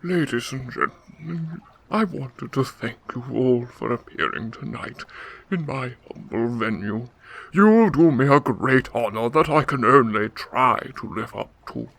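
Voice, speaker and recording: A man acts out a courtly older gentleman club owner in a radio drama. The voice is gravelly and slow, swinging widely in pitch with florid, theatrical politeness. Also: animated, full character voice. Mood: gracious. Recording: music behind.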